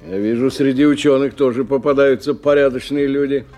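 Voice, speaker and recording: An actor playing a blunt, earthy skeptic who talks in a gruffly familiar, colloquial way in a radio play. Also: male voice, mid-range voice, steady tone, brisk, warm tone, faint music behind, close to an everyday voice. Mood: bluff, musing. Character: blunt, earthy man, skeptical drinking companion. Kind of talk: 1990 radio play acting.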